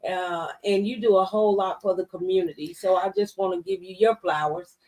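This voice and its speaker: A woman's voice: mid-range voice, fairly even intonation, a little fast, darkish tone, poor audio. A woman hosting a casual talk show, encouraging her guest with affirmations and repeating things back, recorded a little away from the mic.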